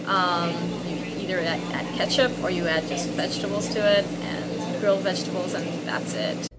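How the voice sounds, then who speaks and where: A woman's voice: fairly low pitch, steady tone, moderate pace. A young woman from Bangladesh, answering interview questions in a plain, matter-of-fact way. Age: young adult. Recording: loud background noise.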